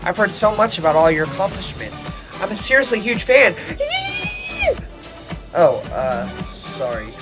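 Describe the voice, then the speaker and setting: A man's voice: high voice, lively intonation, a little slow, pauses often, shouting, low-quality recording. A young man voicing an awkward, eager new student who hesitates and stumbles over his words. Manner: hesitant, lots of ums and uhs. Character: nervous new-kid teenage boy, eager fan. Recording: voice over background music.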